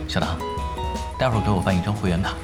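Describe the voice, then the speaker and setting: An actor playing an easygoing young male expert gamer in an audio drama, talking in a casual, gently teasing way. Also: low-pitched, fast talker, music in the background, natural voice. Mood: relaxed, teasing. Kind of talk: Chinese audio drama acting.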